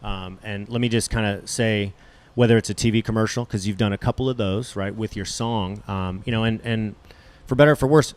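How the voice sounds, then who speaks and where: A man's voice: lowish voice, steady tone, fairly quick, few pauses. A young man hosting a casual backstage interview, rambling and joking as he asks questions. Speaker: radio host interviewer.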